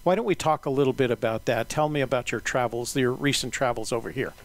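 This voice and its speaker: A man hosting an interview in a relaxed, unhurried way, often pausing with "uh". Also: mid-range voice. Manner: relaxed, many "uh" fillers, measured pace. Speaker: interviewer and podcast host. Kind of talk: casual interview.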